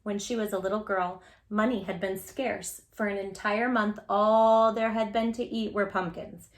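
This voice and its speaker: A woman hosting a children's storytime, speaking in an animated, gushing way straight to young listeners as she chats and reads aloud. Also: mid-range voice, moderate pace. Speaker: children's storytime host and creator of the channel. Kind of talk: children's read-aloud and direct-to-viewer chat.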